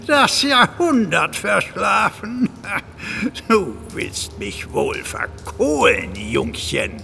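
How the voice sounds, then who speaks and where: A man voicing a boastful, jolly old steam locomotive in a children's audio drama, gravelly and blustery, with quaint old-fashioned wording. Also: sits high, big pitch swings, very slow, pushed and bright, faint music behind, exaggerated voice. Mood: amused, cheerful. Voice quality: gravelly, creaky.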